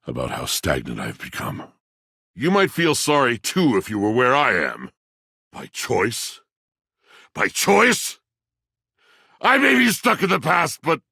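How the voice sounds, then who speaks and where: Game role: aging lovelorn washing machine. Slow, theatrical, gravelly bass, very dry close sound. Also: male voice, fairly bright tone, dry recording, full character voice. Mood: weary, wounded, then anguished. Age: older. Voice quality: deep, gravelly. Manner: theatrical, solemn, flowery, drawn-out delivery. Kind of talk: video game character acting.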